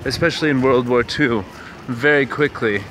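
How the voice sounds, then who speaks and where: A man's voice: mid-range voice, moderate pace, a bit bright. A young man from Canada hosting a casual walking vlog, musing aloud with many fillers and restarts. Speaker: vlog host. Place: outdoors on city streets. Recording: outdoor street noise.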